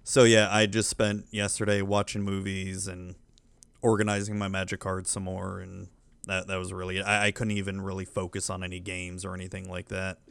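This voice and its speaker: A man's voice: low voice, monotone, normal speed, creaky, fairly bright tone, smooth, dry recording. A man in his thirties, a podcast co-host with kids, chatting casually and telling rambling, profane stories. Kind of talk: casual chat.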